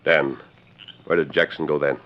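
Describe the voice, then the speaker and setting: An actor playing a commanding, righteous masked cowboy hero in a 1940s radio western, with an authoritative, emphatic delivery and clipped orders. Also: male voice, extremely low pitch, steady tone, moderate pace. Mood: grave. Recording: noisy lo-fi 1940s radio recording.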